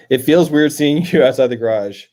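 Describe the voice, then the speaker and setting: A man's voice: a little high, normal speed, mellow. A young Canadian man with a Canadian accent, casually chatting and reading viewer comments on a live stream, full of fillers and restarts. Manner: rambling, filler-heavy, many restarts. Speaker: livestream host. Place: outdoors near a lake.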